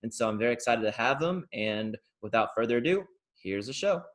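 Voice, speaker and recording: A man hosts a podcast in an ordinary mid-pitched voice. He asks his questions hesitantly, with many pauses and restarts, over a video call. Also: lively intonation. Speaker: podcast host. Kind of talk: casual podcast interview.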